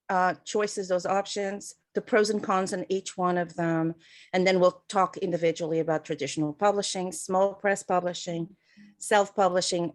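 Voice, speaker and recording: Chatty woman on a call. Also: on the low side. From Portugal. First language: Portuguese. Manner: chatty, self-deprecating, many fillers. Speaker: guest speaker. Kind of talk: online presentation. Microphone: video call.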